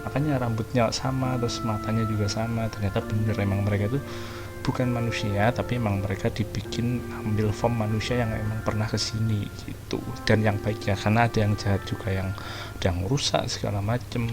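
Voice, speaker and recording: A man's voice: fairly low pitch, fairly even intonation, fast. A man with an Indonesian accent giving casual, informal commentary as host of a gaming playthrough. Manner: informal, mixes in English slang. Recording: game music behind the voice.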